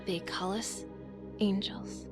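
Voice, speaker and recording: A woman playing a solemn narrator of a far-future war in a video game, speaking in a measured way. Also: medium pitch, steady tone, over music, lightly acted. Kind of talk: scripted dramatic narration.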